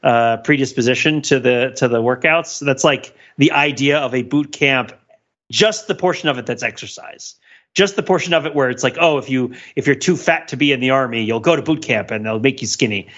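A man's voice: medium pitch, a little fast, a bit bright, highs cut off. A man co-hosting a casual podcast discussion, rambling and interrupting himself as he thinks aloud. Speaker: podcast host.

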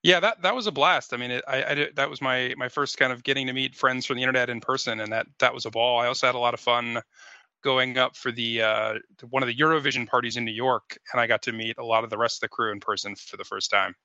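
Very sharp, bright-voiced man, lo-fi sound. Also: mid-range voice, steady tone, fast, dry recording. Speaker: podcast guest and contributor. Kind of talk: casual podcast conversation.

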